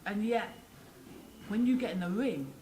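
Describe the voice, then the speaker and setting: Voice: mid-range voice, normal speed, a crowd in the background, poor audio. An interviewer asking a boxer about his career and family in a chatty, friendly way, often laughing along. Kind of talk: casual interview questions.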